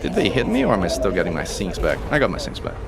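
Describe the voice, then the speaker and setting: Low-voiced Italian-born gamer over noise. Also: male voice, quick pace, vocal fry. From Italy. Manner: excitable, talks through each move while playing. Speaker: streamer and commentator. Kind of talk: live gameplay commentary.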